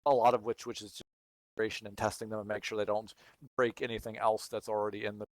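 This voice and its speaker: A man's voice: lowish voice, little pitch movement, fast, no room sound. A man from Texas with no regional accent, hosting a livestream and answering viewer questions in casual, think-aloud chat.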